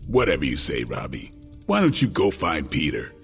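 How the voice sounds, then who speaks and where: Deep vampire hunter, stern and blunt, swings in pitch, loud music under it. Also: male voice, huge pitch swings, moderate pace, big-room sound, heavily acted. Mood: grave, wary. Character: stern, brooding male vampire-hunter superhero, blunt and wary. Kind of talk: video game cutscene acting.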